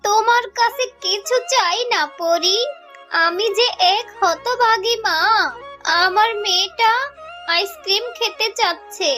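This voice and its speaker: A woman's voice: very high-pitched, slow pace, sharp tone, faint music behind, exaggerated voice. A woman voicing a poor, humble mother fox in a cartoon fairy tale, plaintive and earnest. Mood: pleading. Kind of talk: cartoon dialogue.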